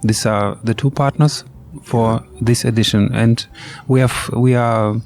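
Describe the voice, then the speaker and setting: A man with a German accent speaking English as a second language, answering interview questions in a hesitant way with many pauses and filler sounds. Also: medium pitch, fairly even intonation, a little mellow. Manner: hesitant, many ums and uhs, slightly imperfect English. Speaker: orchestra bassist, guest. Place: outdoor courtyard.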